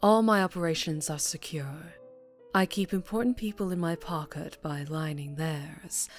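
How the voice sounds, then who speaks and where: Female mafia boss, voiced by an actress, low-pitched and breathy, teasing menace, ASMR roleplay over faint music. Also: moderate pace, close to an everyday voice. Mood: irritated, proud. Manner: teasing, taunting, softly threatening. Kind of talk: scripted ASMR roleplay monologue.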